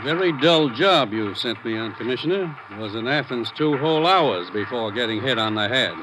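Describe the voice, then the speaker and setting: Actor as American spy chief over noisy clatter. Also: male voice, mid-range voice, expressive, moderate pace, natural voice. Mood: brisk, dry. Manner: clipped, telegraphese read-out. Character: gruff American intelligence chief reading out telegrams. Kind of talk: 1950s radio drama acting.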